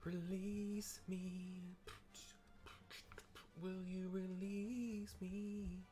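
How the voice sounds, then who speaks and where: A man voicing a desperate, spellbound sailor in a video game, pleading in halting repetitions. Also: high voice, little pitch movement, mellow, soft background music, pitched above the performer's own voice. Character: desperate, spellbound male sailor begging for release.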